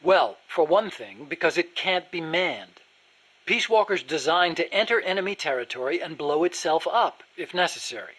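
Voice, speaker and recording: A man's voice: fairly high pitch, animated, normal speed, a bit bright, breathy edge. A man playing an earnest, nerdy American weapons engineer in a video game, eager to explain things in precise detail. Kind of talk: video game dialogue acting.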